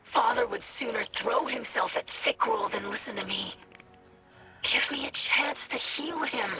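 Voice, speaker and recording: A woman's voice: a little high, unhurried, pushed and bright, soft background music. An actress playing an earnest young female alien scholar in a video game, with careful, formal phrasing. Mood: anguished, worried. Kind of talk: video game character dialogue.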